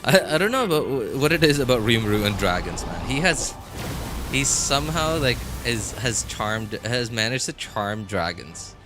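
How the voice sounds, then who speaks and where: A man chatting casually as a game livestreamer, rambling and halting as he thinks aloud. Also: mid-range voice, very animated, background noise. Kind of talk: casual chat to viewers while gaming. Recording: music playing behind the voice. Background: background music.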